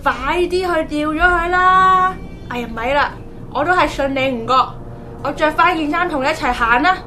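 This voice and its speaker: A woman's voice: high-pitched, normal speed, pushed and bright, slight background noise. A woman playing a bossy, scolding wife in a radio drama, with a Hong Kong Cantonese accent. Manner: scolding, exasperated. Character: bossy, nagging, superstitious wife of a taxi-style everyman.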